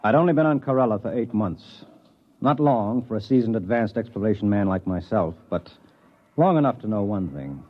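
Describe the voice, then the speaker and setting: An actor playing a gruff, edgy American space explorer in an old radio drama, curt, arrogant and hard-boiled. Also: male voice, lowish voice, expressive, moderate pace, dull audio, natural voice. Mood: edgy, narrating. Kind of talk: 1950s radio drama acting with first-person narration. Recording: noisy, thin old radio recording.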